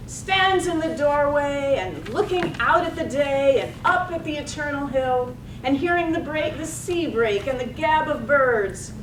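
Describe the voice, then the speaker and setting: A storyteller, played by a woman, reads poetic narration in measured phrases. Her voice is high and slow. The room is echoey and she is distant from the mic. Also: background noise. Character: lyrical storyteller introducing villagers. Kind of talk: poetic scripted narration, read on stage.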